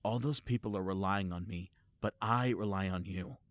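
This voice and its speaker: A man's voice: deep voice, normal speed, close to an everyday voice. A man playing a proud, loyal superhero in an audio roleplay, speaking with sardonic and earnest emphasis. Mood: urgent, anguished. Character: defiant male superhero, proud, sarcastic, fiercely loyal. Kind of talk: scripted audio roleplay acting.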